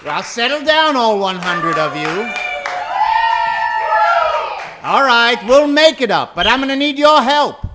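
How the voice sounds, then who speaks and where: A man's voice: extremely high pitch, animated, normal speed. A man hosting a live improv stage show, theatrical and mock-formal, projecting to the crowd in old-time radio style. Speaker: comedy show host. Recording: echoey theater stage, faint hiss.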